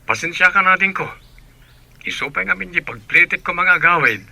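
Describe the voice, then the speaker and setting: Man playing a very bright-voiced caring brother. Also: sits high, lively intonation, a little fast, gravelly, heavily acted. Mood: reassuring. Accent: Ilocano. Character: caring older brother working away from home. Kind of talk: Ilocano radio drama acting.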